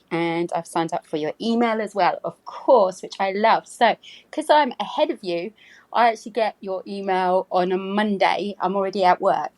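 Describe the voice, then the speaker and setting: A woman's voice: mid-range voice, a little mellow, no echo. A woman, from the UK, leaving a rambling recorded voicemail as a caller, with many ums. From United Kingdom.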